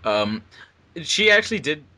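A man's voice: fairly high pitch, big pitch swings, a little slow, pushed and bright. A man chatting as an editor on a casual commentary track, often repeating words as he starts.

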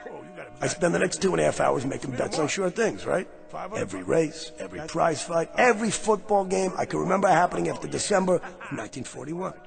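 An actor playing a brash, fast-talking man who claims to be going back in time, with a pushy, street-smart manner in a radio drama. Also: fairly high pitch, animated, vocal fry. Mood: scheming. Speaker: lead character. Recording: radio play with music.

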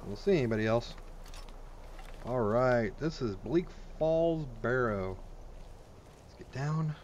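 A man's voice: mid-range voice, lively intonation, unhurried, darkish tone. A man giving casual let's play commentary, informal and crude, thinking aloud as he goes. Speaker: gaming video host. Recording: game ambience and noise behind voice. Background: video game sounds.

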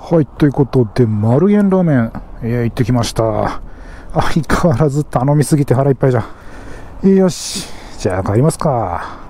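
A man's voice: medium pitch, very animated, normal speed. A man giving rambling commentary to himself while riding a motorcycle, recorded on a helmet-mounted camera mic. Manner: rambling, talks to himself aloud. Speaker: motovlogger. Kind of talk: casual riding vlog commentary. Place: riding a motorcycle outdoors in traffic. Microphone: helmet-mounted action camera mic. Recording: loud road and engine noise.

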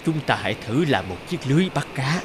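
A man's voice: mid-range voice, big pitch swings, quick pace, background noise, lightly acted. An actor playing an old fisherman grandfather who leads and instructs in an encouraging manner. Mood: thoughtful, encouraging. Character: wise old fisherman grandfather, resourceful leader. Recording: music behind the voice.

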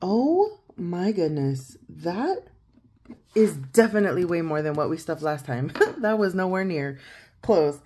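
A woman in her thirties with a croaky morning voice, chatting casually at a home desk and counting money aloud as she fills in savings challenges. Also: on the low side, sing-song, a little slow, a little hiss or hum. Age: 30s. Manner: chatty, counts out loud, laughs easily. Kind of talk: casual chat while counting cash.

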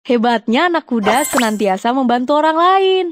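Very high, cute young panda. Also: expressive, moderate pace, noisy background. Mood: cheerful, encouraging. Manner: encouraging, simple instructions. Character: cute cheerful young panda guide for toddlers. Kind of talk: children's educational cartoon presenting. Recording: music behind the voice.

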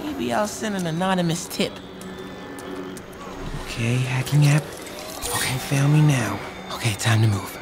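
An earnest, slightly nervous teenage boy in a cinematic video game. His voice sits on the high side and he talks in a casual, youthful way. Music plays clearly behind him. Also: expressive, a little slow, long pauses. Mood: tense, muttering. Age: young adult. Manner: casual, youthful muttering to himself. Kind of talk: naturalistic video game acting.

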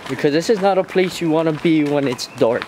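Joking young man, slightly high, noisy outdoors. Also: fairly quick. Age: young adult. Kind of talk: casual vlog banter. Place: outdoors on a trail and at a graffitied ruin. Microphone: handheld camera. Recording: noisy outdoor camera audio.

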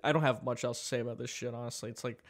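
A man's voice: medium pitch, fairly even intonation, rapid-fire. A young man hosting a podcast in casual chat, profane and rambling, laughing often. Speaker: podcast host.

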